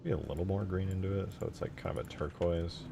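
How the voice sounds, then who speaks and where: A man's voice: low voice, fast, creaky, noisy background. A man streaming his game modding work, talking informally and thinking aloud. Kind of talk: casual livestream chat.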